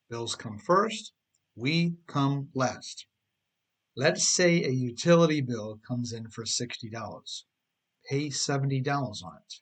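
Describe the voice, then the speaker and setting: A middle-aged man of medium pitch reads his own self-help book aloud. His voice has a rough, husky grain. He instructs the listener directly and leans on key words for emphasis. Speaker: author reading own book. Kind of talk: audiobook narration of self-help advice.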